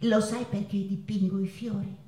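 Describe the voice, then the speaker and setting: An actress playing an anguished, passionate Mexican woman painter in a stage monologue, confiding haltingly and repeating words with dramatic emphasis. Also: medium pitch, fairly even intonation, warm tone, lightly acted. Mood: wistful, yearning. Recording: echoey stage, distant mic, guitar behind.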